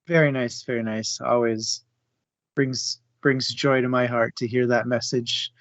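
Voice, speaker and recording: A man's voice: mid-range voice, level tone, a little slow, no room sound. Dull, dry-sounding man hosting a discussion. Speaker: host of a book discussion show. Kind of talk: casual book discussion for a live stream.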